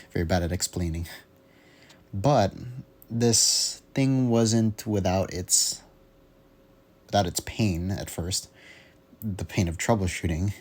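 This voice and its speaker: A young man with a low-pitched voice talks off the cuff in a casual audio diary. He rambles along haltingly, often searching for the right word. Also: darkish tone. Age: young adult. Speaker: hobbyist vlogger. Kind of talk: casual unscripted audio log.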